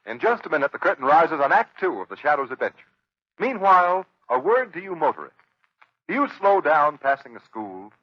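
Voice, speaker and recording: A male radio announcer from the 1930s delivers an advertisement. His high voice rises and falls in lively, emphatic sales patter, slightly husky on a muffled vintage broadcast. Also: a little fast. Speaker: radio announcer reading a sponsor's ad.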